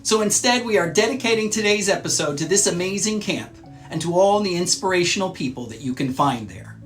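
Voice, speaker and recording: Man reading aloud over music, measured delivery. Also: sits high, animated, fairly bright tone. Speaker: children's read-aloud show host. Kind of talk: scripted narration, storybook read-aloud.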